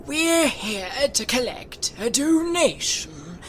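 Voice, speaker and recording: A woman putting on a well-mannered gentleman on a charity round, speaking in a raised, formal, drawn-out way. The reading has a faint hiss of background noise. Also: on the high side, big pitch swings, voice pushed up. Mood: courteous. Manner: courteous, formal. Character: polite, well-meaning gentlemen collecting for charity.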